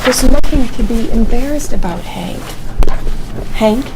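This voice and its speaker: An actress playing an anxious, insistent wife with a worried, pressing manner in a drama read. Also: female voice, fairly high pitch, animated, measured pace, loud music behind the voice, low-quality recording. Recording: loud background noise.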